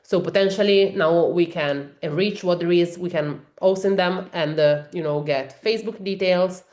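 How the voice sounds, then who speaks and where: Italian-accented woman giving a software demo. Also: lowish voice, normal speed, echoey room. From Italy. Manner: explanatory, many fillers and restarts. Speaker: software trainer. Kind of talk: webinar demo walkthrough.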